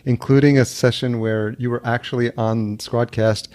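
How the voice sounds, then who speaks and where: A man's voice: mid-range voice, fairly even intonation, normal speed, darkish tone. A man born in El Salvador, hosting a podcast interview in a loose, rambling way and laughing often. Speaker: podcast host. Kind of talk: casual interview chat. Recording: remote call audio.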